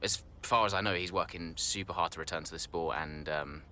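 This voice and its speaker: Deep, bright-voiced guarded driver. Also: male voice, steady tone, brisk, slight background noise. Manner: hesitant, noncommittal. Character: guarded, self-focused male racing driver giving interview. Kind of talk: acted video game interview.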